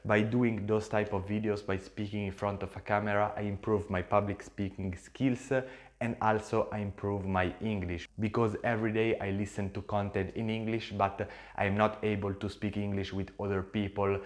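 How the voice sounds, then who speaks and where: A man with an Italian accent talks straight to camera in English, his second language. His voice sits fairly low. He is earnest and repeats himself, with frequent fillers and restarts. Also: level tone, normal speed. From Switzerland. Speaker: YouTuber and agency owner. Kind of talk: casual talk to camera.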